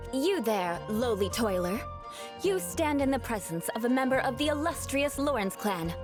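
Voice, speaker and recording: A woman's voice: a little high, big pitch swings, unhurried. An actress voicing a proud young noblewoman knight who speaks in stiff, formal, ornate phrasing. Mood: haughty. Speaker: game character. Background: game music.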